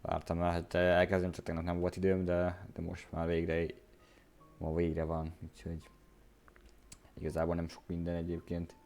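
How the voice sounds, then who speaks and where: A man's voice: sits low, monotone, brisk, gravelly, darkish tone. A man giving casual livestream commentary as a game streamer.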